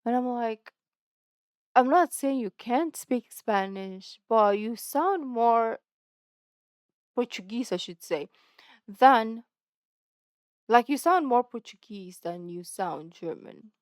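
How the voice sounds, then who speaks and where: A woman's voice: fairly high pitch, animated, takes it slowly, long pauses, dry recording, clean studio sound. A woman hosting a reaction video, rambling and chatty, often correcting herself. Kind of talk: casual chat reacting to a video.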